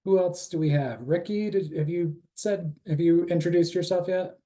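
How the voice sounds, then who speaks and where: A man's voice: high voice, normal speed, dark tone. A man hosting an online group meeting, thanking people in short friendly remarks. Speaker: meeting host. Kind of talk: casual video-call chat.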